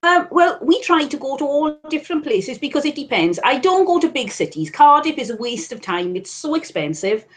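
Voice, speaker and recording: A woman's voice: high voice, big pitch swings, normal speed, few pauses. A woman with a Welsh accent from South Wales, a guest reseller on a live stream, chatty and candid with wry asides. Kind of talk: casual live-stream chat.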